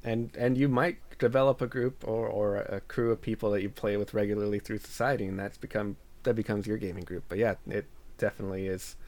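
A man's voice: on the low side, steady tone, a little fast, hardly any pauses, darkish tone. A man co-hosting a casual podcast, giving earnest, reassuring advice and often stumbling and restarting. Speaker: podcast co-host.

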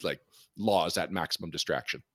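A man's voice: sits low, fairly quick, fairly bright tone, dry recording, clean studio sound. A man with a Canadian accent running a tabletop game as game master, bantering and laughing often with his players.

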